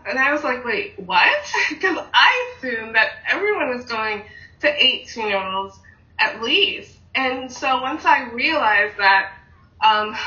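A woman's voice: on the high side, pushed and bright, roomy sound, distant mic, muffled recording. A woman being interviewed, telling personal stories in a conversational way. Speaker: interviewee, teacher.

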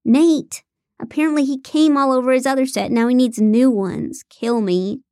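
Grumbling, put-upon female crew member, higher-pitched narrator's voice. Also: slow pace, warm tone, no echo, clean studio sound, heavily acted. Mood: exasperated. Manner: groaning, exasperated.

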